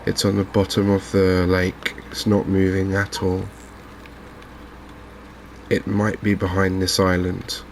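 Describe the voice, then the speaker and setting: Softly spoken man, low and very even. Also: vocal fry, slight background noise. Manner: calm, understated, unhurried running commentary. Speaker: gaming video host. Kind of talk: softly spoken ASMR game commentary.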